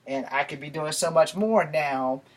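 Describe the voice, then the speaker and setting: A man's voice: on the high side, moderate pace. An American man in his forties giving a rambling, casual vlog talk to camera in a small bedroom. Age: 40s. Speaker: YouTube vlogger. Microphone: camera a few feet away. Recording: noisy home camera recording with clicks.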